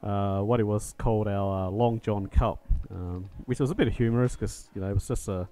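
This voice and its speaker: An Australian man co-commentating a pool match, relaxed and talkative. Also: on the low side, normal speed. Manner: relaxed, talkative, a few fillers. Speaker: co-commentator and league organiser. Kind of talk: live sports commentary.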